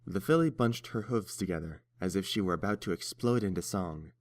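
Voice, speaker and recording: A man's voice: low voice, expressive, a little fast, no room sound, studio quality. A man reading scripted story narration as the narrator of a tale.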